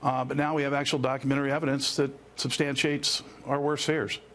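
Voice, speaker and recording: A man's voice: mid-range voice, level tone, brisk, fairly bright tone, slight background noise. A man speaking as an expert guest in a news interview, explaining in earnest detail with formal, legal phrasing. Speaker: attorney and former air traffic controller, interview guest.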